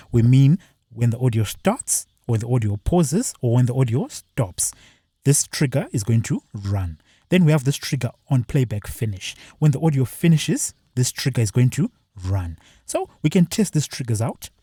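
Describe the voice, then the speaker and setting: Male tutorial presenter, mellow slightly husky tone, lively pitch, step-by-step delivery, dry close sound. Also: no echo. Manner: plain step-by-step explaining. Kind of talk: screen-share walkthrough.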